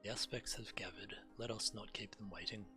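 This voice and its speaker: Man playing a low-voiced, dignified dragon envoy. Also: steady tone, lightly acted. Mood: solemn. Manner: measured, formal, solemn. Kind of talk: fantasy video game acting. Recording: music under the voice. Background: game music.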